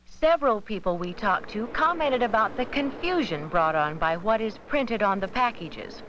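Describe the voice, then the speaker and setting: A woman giving measured, scripted narration for an educational film about supermarket shopping. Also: mid-range voice, expressive, noisy background. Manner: measured, instructive. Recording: dull 1970s 16mm film soundtrack with music.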